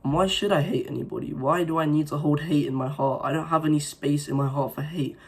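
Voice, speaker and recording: A man's voice: fairly high pitch, fairly even intonation, fast talker, slightly rough. A young man with a sore throat from recent illness, giving an earnest, rambling motivational talk to his viewers with many fillers and restarts. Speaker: self-improvement vlogger. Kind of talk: casual motivational talk to camera.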